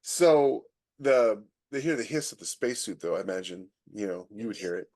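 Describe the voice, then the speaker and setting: A man's voice: fairly low pitch, lively intonation, moderate pace, a little mellow. A man co-hosting a podcast, explaining things conversationally as a science fiction writer, with sentences that often trail off and restart. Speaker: podcast co-host and military sci-fi writer. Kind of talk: casual podcast discussion.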